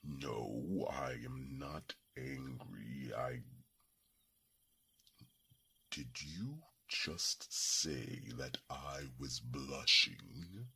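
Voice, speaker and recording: A man voicing a gruff, protective old dragon in an ASMR fantasy roleplay, with halting, formal speech and a breathy, gravelly rasp. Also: a bass voice, sharp tone, exaggerated voice. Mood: flustered, grumpy. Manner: halting, formal, grumbling, theatrical pauses. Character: ancient gruff male dragon, flustered, protective, secretly lovesick.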